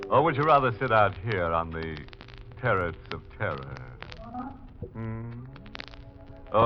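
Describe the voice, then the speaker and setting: An actor playing a ghoulish, mock-genteel horror host on a 1940s radio show, teasing and theatrical as he relishes the macabre. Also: fairly low pitch. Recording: muffled 1940s radio recording with music.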